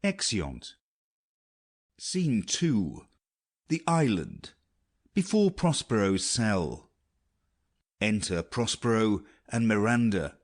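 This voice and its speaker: A man's voice: on the low side, big pitch swings, slow, pauses often. A man narrating an audiobook play, reading out the stage directions.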